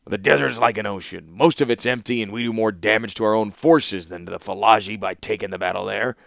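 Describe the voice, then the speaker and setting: A man voicing a cunning male warlord who speaks gruffly and deliberately. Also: fairly low pitch, fairly bright tone, dry recording, studio quality. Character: cunning middle-aged male warlord and ruler.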